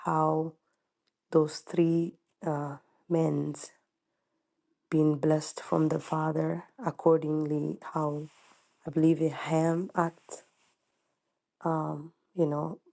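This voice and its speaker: A woman from Ethiopia with an Ethiopian accent, calling in with a question and speaking haltingly, searching for words. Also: low-pitched, little pitch movement, vocal fry, warm tone, rough recording. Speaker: caller.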